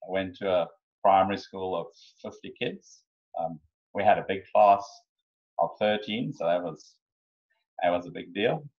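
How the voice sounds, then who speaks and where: Low-voiced South African man, dull audio. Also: normal speed. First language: Afrikaans. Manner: hesitant, many ums and restarts. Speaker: guest. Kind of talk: relaxed interview storytelling.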